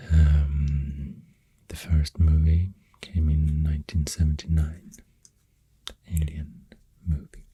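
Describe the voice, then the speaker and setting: Very soft, breathy Swedish-accented ASMR whisperer. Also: extremely low pitch, dry recording. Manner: hushed, unhurried, hesitant pauses. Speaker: ASMR video host. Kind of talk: soft-spoken ASMR, rambling. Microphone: close microphone.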